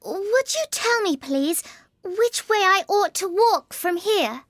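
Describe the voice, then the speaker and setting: A man's voice: very high voice, animated, slow, fairly bright tone, no room sound, studio quality, pitched above the performer's own voice, full character voice. A man voicing a prim, earnest little girl in a storybook reading, with polite, carefully proper speech and a scolding streak. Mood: timid, polite.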